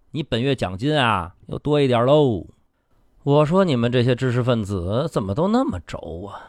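Guy doing a nasty cynical boss in a radio play, super animated, dry close sound, talks down and swears. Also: medium pitch, no echo. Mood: scornful, dictating. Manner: domineering, swearing, condescending, badgering with questions. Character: bullying, cynical male media boss chasing clicks. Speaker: boss in a satirical radio drama. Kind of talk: radio drama acting.

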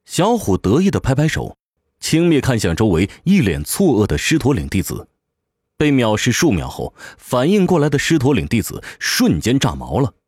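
Highly animated male dramatic narrator. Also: moderate pace, breathy edge, no echo, clean studio sound. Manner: theatrical, suspenseful storytelling. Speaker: narrator, professional voice actor. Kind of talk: dramatic scripted audiobook narration. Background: music bed.

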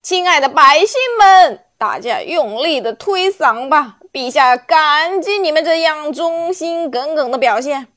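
A woman's voice: sits high, big pitch swings, normal speed, dry recording, studio quality. A woman doing a pompous scheming guard captain. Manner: affected, speechifying.

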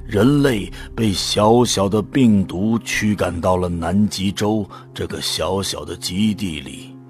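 Low, somber male actor as resolute volunteer narrator. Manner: solemn, deliberate. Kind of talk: scripted dramatic first-person narration.

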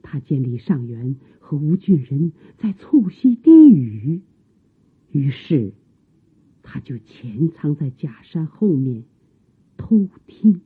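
A radio drama narrator tells the story at a slow, measured pace with wide swings of intonation. The voice is slightly husky, heard through a thin phone-like band. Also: medium pitch, big pitch swings.

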